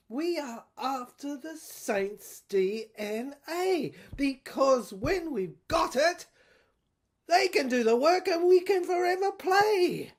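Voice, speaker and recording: A woman's voice: high voice, a little mellow, pitched above the performer's own voice, full character voice. A woman acting out a blustering, ruthless male army general in a serial audio drama, barking orders in a hearty old-boy manner. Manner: clipped commands, hearty "old boy" address.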